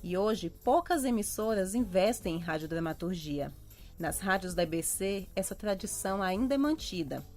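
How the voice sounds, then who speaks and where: A woman's voice: mid-range voice, expressive, normal speed, a little mellow. Brazilian woman reporter over faint music. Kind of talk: scripted documentary narration.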